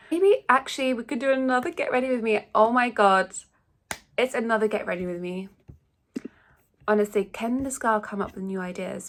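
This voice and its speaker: A young woman, congested from a cold, chatting casually and rambling through a get-ready-with-me vlog at home. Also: medium pitch. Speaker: beauty vlogger. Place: at home, shared house.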